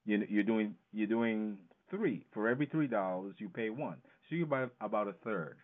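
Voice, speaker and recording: A man's voice: lowish voice, animated, moderate pace. A man explaining things as an interview guest, emphatic and fond of posing questions that he then answers himself. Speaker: financial educator, interview guest. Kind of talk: explanatory radio interview talk. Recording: narrow, tinny phone-line sound.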